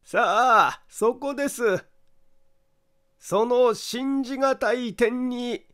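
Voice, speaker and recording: Man voicing an eerie, high-pitched, slow-spoken male detective. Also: full character voice. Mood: eerie, insistent. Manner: slow, deliberate, insistent. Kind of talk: dramatic audiobook character acting.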